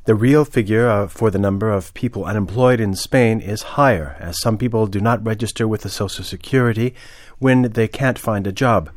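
Lowish male newsreader, very dry sound. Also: normal speed, no echo. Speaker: radio newsreader. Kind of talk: scripted news reading.

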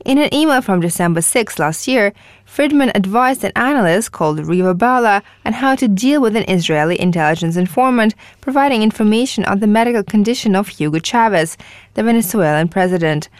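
A female newsreader delivering a radio news report in a measured, formal way. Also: medium pitch, animated, no room sound, clean studio sound.

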